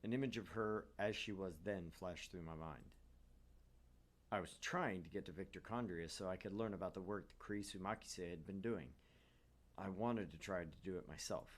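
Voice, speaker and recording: Lower-voiced man reading a visual novel. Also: normal speed. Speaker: gaming show host. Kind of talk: reading visual novel aloud with casual commentary.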